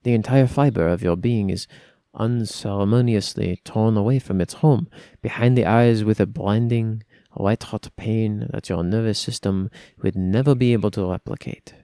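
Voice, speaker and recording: A brooding necromancer of a cursed bloodline, as a man puts him on, speaking with a subtle French accent. The voice is hushed and slow, with a grave, mournful weight. It is recorded close and dry, with no room sound. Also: lowish voice, no room sound, lightly acted. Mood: hushed, grim. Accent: put-on subtle French. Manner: hushed, slow, solemn delivery. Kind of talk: dramatic reading of a first-person lore piece.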